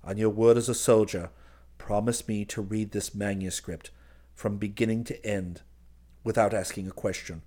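A man performing a grandiose, paranoid madman in an old horror tale. His voice sits fairly low. He speaks with haughty intensity, issuing commands as if he were royalty. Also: flat delivery, moderate pace, mellow, close to an everyday voice. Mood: controlled, insistent. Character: deluded, imperious, paranoid man with grandiose claims.

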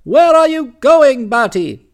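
A man voicing a booming, imperious older aunt who blusters and scolds. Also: very animated, slow pace, heavily acted. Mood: blustering.

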